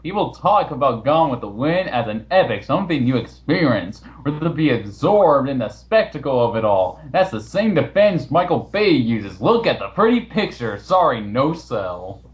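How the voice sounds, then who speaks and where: A man's voice: medium pitch, dull audio. A man hosting a casual online voice chat, talking in an informal, matter-of-fact way. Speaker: host of the recorded chat. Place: online voice call.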